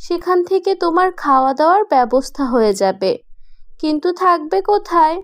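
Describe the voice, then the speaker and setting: A woman's voice: high-pitched, lively intonation, fairly quick. A woman voicing a teasing, bossy but kindly young female ghost in a horror cartoon, given to mocking cackles. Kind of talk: animated horror cartoon voice acting.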